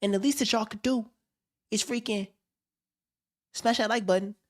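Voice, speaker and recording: A man's voice: high voice, steady tone, normal speed. A man hosting a livestream, reacting to a video with hyped, slang-heavy banter and frequent laughs. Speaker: streamer host. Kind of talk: casual livestream commentary.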